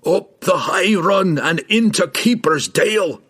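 A man's voice: sits high, measured pace, a bit bright, husky, voice pushed up, exaggerated voice. A man voicing an anguished, delirious old dwarf warrior in a fantasy audiobook, crying out and gasping. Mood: frantic.

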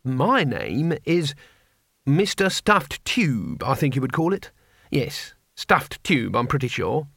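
A man voicing a courteous, bumbling old man who speaks haltingly and searches for words, in a comic fantasy audiobook. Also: mid-range voice, very animated, creaky, a bit bright, breathy edge, dry recording, clean studio sound, heavily acted. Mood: halting, polite. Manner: halting, word-searching, overly polite.

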